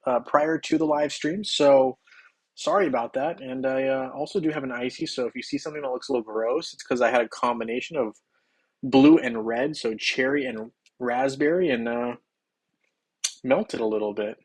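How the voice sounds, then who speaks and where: A young man hosting a game livestream, his voice a little hoarse and scratchy. He keeps a casual, upbeat patter, welcoming chatters by name in a middle-pitched voice. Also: normal speed. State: slightly hoarse.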